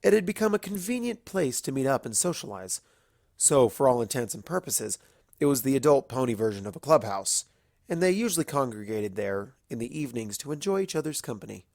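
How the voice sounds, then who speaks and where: A storyteller giving an expressive, scripted reading of narration for a fan fiction story. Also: medium pitch, brisk, gravelly, dry recording, clean studio sound.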